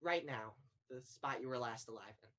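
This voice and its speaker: A woman's voice: a bass voice, moderate pace, fairly bright tone. A young woman on stage playing an earnest, insistent guide to the afterlife, probing and solemn, in a student one-act play. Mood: grave. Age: young adult.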